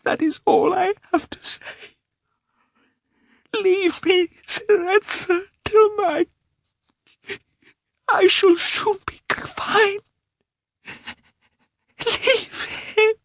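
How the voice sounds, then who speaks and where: A man reading an audiobook, voicing a despairing young wife who speaks softly and in whispers. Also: low voice, moderate pace, hardly any pauses, dry recording. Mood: pleading. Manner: soft, whispered, hushed. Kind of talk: audiobook character voice.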